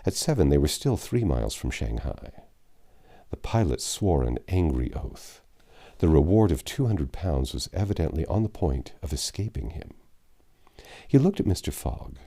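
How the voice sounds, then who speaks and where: Very deep-voiced man narrating, animated and mellow. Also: moderate pace. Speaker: audiobook narrator. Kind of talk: scripted storybook narration, reading a classic novel aloud.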